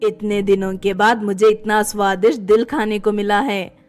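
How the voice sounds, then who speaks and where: A woman's voice: medium pitch, steady tone, normal speed, faint music behind, pitched below the performer's own voice, close to an everyday voice. A woman voicing an evil, gloating witch in a horror storybook tale. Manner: gloating, menacing. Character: evil heart-eating witch, gloating and menacing.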